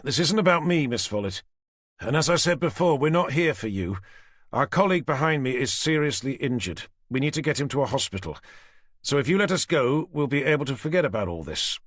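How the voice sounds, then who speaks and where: Ein ruhiger, bestimmter Kriminalinspektor, von einem Mann mit britischem Akzent gesprochen, kontrolliert und beschwichtigend, mit leicht verhauchter Stimme. Trocken aufgenommen, im Hörbuch. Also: male voice, mid-range voice, expressive, a little fast, a bit bright, no room sound, clean studio sound, voice pushed up. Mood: placating. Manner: firm, placating, controlled. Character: calm male detective inspector, firm and measured.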